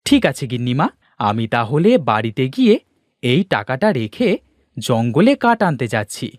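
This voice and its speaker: A man's voice: medium pitch, unhurried, dry recording, studio quality. A man voicing a polite, helpful, hardworking village lad in a cartoon. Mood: respectful. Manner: obliging, expressive.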